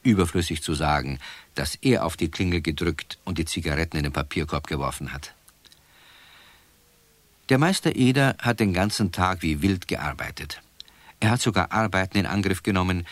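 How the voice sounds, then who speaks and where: A man's voice: sits low, lively intonation, normal speed, dry recording. A man narrating a children's story, conversational and addressing listeners directly.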